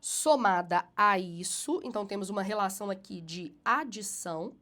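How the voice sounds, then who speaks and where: A woman teacher correcting a student essay, slowing to read passages aloud with emphatic rises, then explaining briskly; she has a cold and sounds a bit nasal. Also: mid-range voice, expressive, fairly bright tone.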